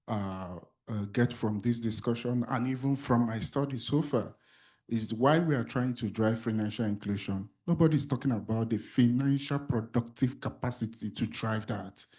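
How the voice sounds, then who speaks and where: A man's voice: mid-range voice, unhurried, darkish tone. A man from the audience asking a long, hesitant question at a conference, with many fillers. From Nigeria. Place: conference auditorium.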